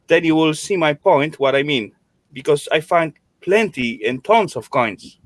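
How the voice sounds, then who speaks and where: A man chatting casually on a stream in halting English with odd grammar. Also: fairly high pitch, animated, no echo. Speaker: guest on a stream chat. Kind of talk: casual online chat.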